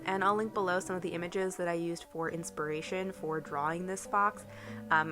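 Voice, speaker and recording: A young woman with a slight cold talks through a relaxed home voiceover, rambling and full of small fillers. Her voice sits a little low for a woman. Clear background music plays beneath her the whole time. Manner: chatty, rambling, lots of ums and likes. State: has a cold. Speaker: bullet journal vlogger. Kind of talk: casual voiceover narration. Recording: soft background music under voice.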